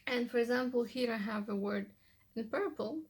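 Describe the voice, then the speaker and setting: A woman's voice: on the high side, normal speed, a little mellow, breathy edge. A young woman, a native Polish speaker, talks casually through her study tips in a loose, rambling way. She fills her talk with "like" and "you know.". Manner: chatty, loose, restarts often with "like" and "you know". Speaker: language-learning vlogger. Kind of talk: casual how-to vlog.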